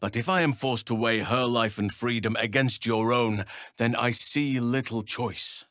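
An actor voicing a wise, gentle and grave archdruid in a fantasy video game, with measured, formal and thoughtful phrasing. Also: male voice, mid-range voice, steady tone, no echo, clean studio sound, natural voice.

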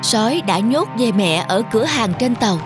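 A woman's voice: on the high side, lively intonation, normal speed, over music. A woman reading scripted narration for a children's fairy tale. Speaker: storyteller, narrator.

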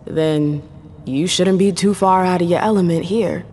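A woman's voice: lowish voice, unhurried, warm tone, a little hiss or hum, close to an everyday voice. A woman playing a cool, world-weary vampire club owner in a video game, sardonic and self-assured.

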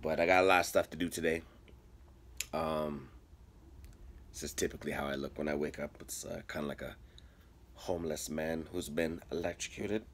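A man's voice: very deep voice, moderate pace, long pauses, a little hiss or hum. A man in his thirties, a comedian, talking casually and jokingly to his phone camera, held at arm's length, aboard a cruise ship. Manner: relaxed, self-mocking, chatty. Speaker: comedian vlogger. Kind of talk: casual vlog. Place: cruise ship cabin and theater.

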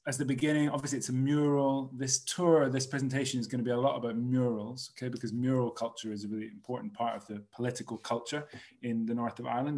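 Chatty Scottish man on a video call. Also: mid-range voice. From Scotland. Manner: relaxed, chatty, frequent fillers and restarts. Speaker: tour director. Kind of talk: casual virtual tour presentation. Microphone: video call webcam.

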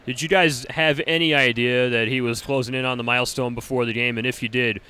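A young man doing postgame interviews in a college gym, rattling off scores and stats in a crisp broadcast delivery into a handheld mic. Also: mid-range voice, steady tone, brisk, bright, forceful tone. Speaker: sideline reporter.

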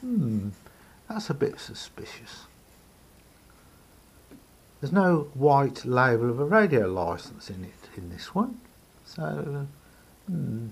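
A man with a gravelly, husky voice gives an unscripted hands-on demonstration, thinking aloud hesitantly as he explains. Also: mid-range voice, big pitch swings, slow, pauses often. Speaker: hobbyist radio repairer. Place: indoor room at a table.

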